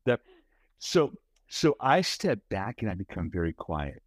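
A man co-hosting a casual podcast chat, talking in an animated, expressive way with a big voice, often checking in with "right?". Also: lowish voice, measured pace, no echo. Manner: many restarts and repeated "right?". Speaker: podcast co-host.

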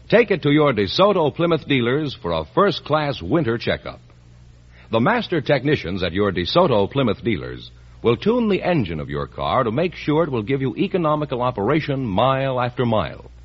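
A man reading a 1950s radio commercial in a smooth, persuasive sales manner. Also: medium pitch. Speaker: commercial announcer. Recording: dull old radio broadcast.

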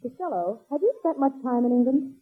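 A woman's voice: high voice, expressive, a little fast, slightly rough. A woman playing a chirpy, affected singing star who talks in playful mock-British phrases on a 1940s radio comedy. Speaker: singer and supporting player. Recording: tinny old radio recording.

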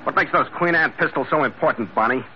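A man's voice: mid-range voice, fast talker, close to an everyday voice. A man acting in an old-time radio adventure, playing a tough, wry sea captain who narrates in a blunt, clipped way. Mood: hard, demanding. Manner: hard-boiled, blunt, clipped. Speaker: lead actor and first-person narrator. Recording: noisy, muffled vintage radio recording.

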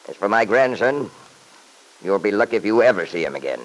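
A wealthy, stubborn older father from an old-time radio drama. He speaks in an imperious, blustering way, his pitch swinging widely as he lays down the law. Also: very animated, brisk, poor audio. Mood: scornful, threatening.

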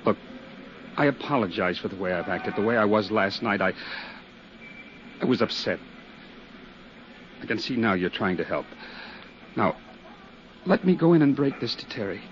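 An actor playing a defensive, agitated office worker in a 1950s radio drama, blustering and halting as he speaks. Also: lowish voice, lively intonation, close to an everyday voice. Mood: shocked, distressed. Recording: muffled, noisy 1950s radio recording.

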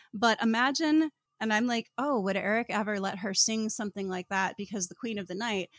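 A woman's voice: mid-range voice, no echo. A woman co-hosting a podcast, giving casual book commentary with dry irony and rambling tangents. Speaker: podcast co-host.

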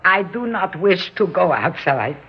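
Feisty old wife, swooping pitch. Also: female voice, big pitch swings, a little slow, husky, exaggerated voice. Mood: reluctant, hurt. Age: older. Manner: indignant, Yiddish-inflected phrasing. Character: feisty, scolding older shopkeeper's wife, stubborn. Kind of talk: classic radio drama acting. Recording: muffled, noisy old radio broadcast.

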